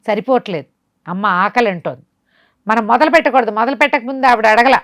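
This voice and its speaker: A woman's voice: fairly high pitch, brisk, a bit bright, dry recording, studio quality, close to an everyday voice. A woman storyteller voicing an evil, suspicious male sorcerer who fires off questions and insistent orders. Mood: commanding, urgent. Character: evil male sorcerer, commanding, suspicious, scheming human sacrifices.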